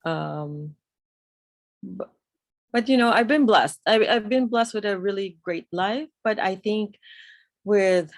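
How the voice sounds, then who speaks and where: A woman's voice: medium pitch, slow, long pauses, no room sound. A woman raised in New York, a guest on a video call, speaking reflectively and searching for her words. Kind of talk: casual conversation over a video call.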